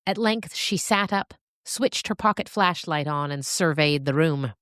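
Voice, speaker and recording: A woman with a low voice tells a story as a podcast narrator. Her phrasing is expressive and deliberate, with dramatic pauses, in a close, dry recording. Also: no echo.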